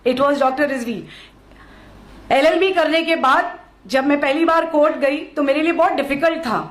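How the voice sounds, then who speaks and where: A woman's voice: high voice, moderate pace, echoey room. A woman from Maharashtra giving a public speech, mixing Hindi with English phrases and repeating lines for emphasis. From Maharashtra, India. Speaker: lawyer giving a speech at a function.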